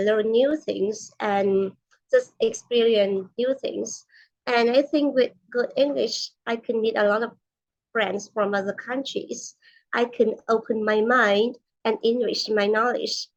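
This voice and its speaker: Soft-spoken Vietnamese woman, muffled audio. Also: a little high. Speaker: interview guest, nutritionist. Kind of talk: casual conversational interview.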